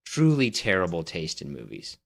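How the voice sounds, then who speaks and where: A man's voice: deep voice, wildly swooping pitch, normal speed, creaky. A man co-hosting a casual movie podcast, bantering in an opinionated, emphatic way and needling his co-host.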